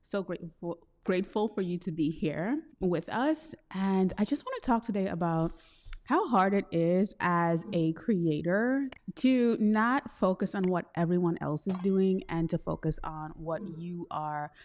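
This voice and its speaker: A woman from Trinidad hosting a solo podcast, talking in a chatty, expressive way with slangy asides. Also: lowish voice, a little slow. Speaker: podcast host. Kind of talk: solo podcast, casual self-reflection.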